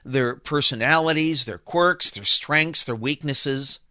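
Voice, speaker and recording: A man's voice: medium pitch, takes it slowly, bright, forceful tone, no room sound, clean studio sound. A male voice actor giving a scripted demo presentation with some comic banter.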